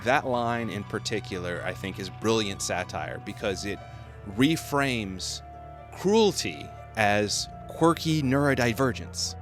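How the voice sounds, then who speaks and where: A man's voice: fairly low pitch, slow, music in the background. A man delivering scripted video-essay commentary with casual asides.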